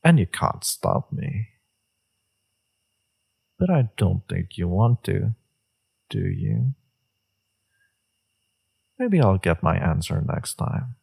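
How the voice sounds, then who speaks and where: A very deep, dark-toned male villain acted by a man murmurs through a romance roleplay. His voice is husky and takes its time. He is smug and seductive, teasing his enemy with complete self-assurance. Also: sing-song, takes it slowly, long pauses, exaggerated voice. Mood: smug, flirtatious. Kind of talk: romantic audio roleplay, intimate monologue.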